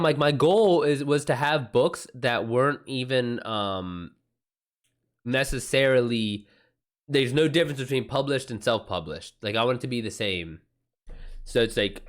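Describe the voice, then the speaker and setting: A man with an American accent chatting informally on a livestream as host, using slang and reacting aloud to viewer comments. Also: medium pitch. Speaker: livestream host and self-published author. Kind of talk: casual livestream chat, answering viewer comments.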